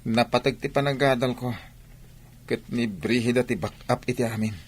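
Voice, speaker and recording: A man's voice: mid-range voice, fairly even intonation, moderate pace, slightly rough, lightly acted. Man acting an evasive young Filipino two-timer. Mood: carefree, defensive. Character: carefree two-timing young male student, evasive. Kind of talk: Ilocano comedy radio drama acting.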